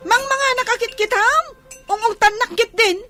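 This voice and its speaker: A woman's voice: very high-pitched, normal speed, over music. A woman playing a rebellious, sullen young village daughter with an Ilocano accent, defiant and whiny, in radio drama style. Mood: sullen, annoyed. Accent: Filipino, Ilocano. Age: young adult. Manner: defiant, whiny, talking back.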